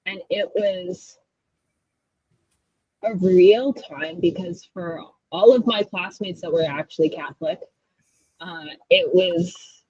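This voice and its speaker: Slightly husky woman hosting a chat. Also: fairly low pitch, darkish tone, no room sound, highs cut off. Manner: chatty storyteller with wry asides. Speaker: host. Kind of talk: casual chat.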